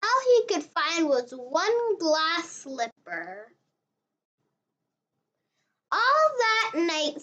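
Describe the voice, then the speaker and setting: A very high-pitched child reads a fairy tale aloud in a lively, rising and falling voice. The reading is slow and careful, one word at a time. The recording is a little dull and lo-fi. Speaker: story reader.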